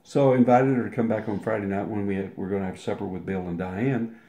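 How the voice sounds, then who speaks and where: A man's voice: on the low side, steady tone, fast, dark tone, muffled recording. An older man telling a long personal anecdote as an interview guest, rambling with restarts and acting out the quotes. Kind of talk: casual storytelling. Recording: roomy echo.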